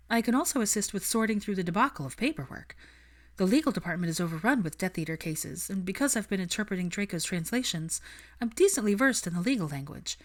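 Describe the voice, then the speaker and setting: A woman's voice: mid-range voice, voice pushed up, natural voice. A woman voicing a determined, frank young witch in an audiobook-style story, articulate and earnest, with a teasing edge.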